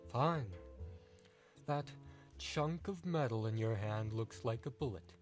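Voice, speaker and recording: A man's voice: medium pitch, slow pace, soft background music, lightly acted. A man playing a smug, condescending trial witness in an audio drama, with a mocking, theatrical manner. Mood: exasperated. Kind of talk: amateur audio drama acting.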